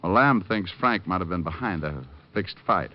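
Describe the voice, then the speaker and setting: A man's voice: sits low, expressive, moderate pace. An American actor playing a wry, hard-boiled private detective who narrates his case in old radio style, dry and wisecracking. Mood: probing, sardonic. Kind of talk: old-time radio acting, scripted narration. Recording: dull old radio recording. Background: dramatic music.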